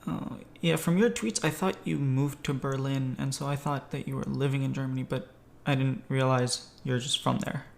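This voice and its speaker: A man hosting a podcast, speaking casually and a little haltingly with an agreeable manner. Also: medium pitch, steady tone, vocal fry, a little hiss or hum. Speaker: podcast host. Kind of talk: casual conversational interview.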